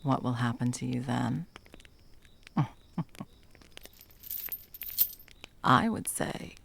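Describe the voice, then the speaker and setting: A woman playing a stern, condescending yet tender monster hunter in an ASMR roleplay, commanding and dropping often into breathy whispers. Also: very deep voice, takes it slowly, fairly bright tone, slight background noise, heavily acted. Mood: controlling, menacing. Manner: commanding, condescending, with pet names.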